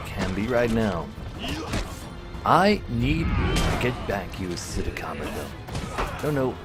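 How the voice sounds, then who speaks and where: A man livestreaming a game, mixing muttered commentary with dramatic readings of the game's text. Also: on the low side. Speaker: live streamer. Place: indoors, livestream with game music behind.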